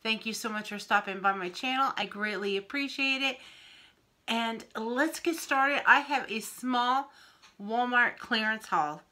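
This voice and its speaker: A middle-aged woman from Pennsylvania, a little wheezy and often clearing her throat, chatting through a craft haul on camera in her home kitchen. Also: on the high side, bright, forceful tone. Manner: chatty, unhurried, friendly show-and-tell. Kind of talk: casual haul video. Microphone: camera in front at arm's length.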